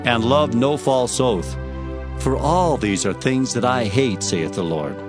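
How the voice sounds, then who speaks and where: A man's voice: mid-range voice, slow pace. A man narrating scripture dramatically in a solemn, declamatory manner. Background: music.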